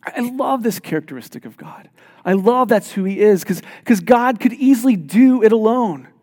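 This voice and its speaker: A man preaching a sermon as pastor, reading scripture aloud and explaining it in an animated, emphatic way. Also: high-pitched, moderate pace. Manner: animated, self-deprecating, emphatic. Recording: long hall reverb.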